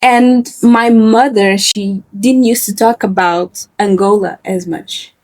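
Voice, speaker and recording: A woman in her twenties who grew up in Portugal, speaking softly and reflectively as a guest in a candid interview. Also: mid-range voice, fairly even intonation, slow, no echo. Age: 20s. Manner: soft-spoken, reflective, with frequent fillers.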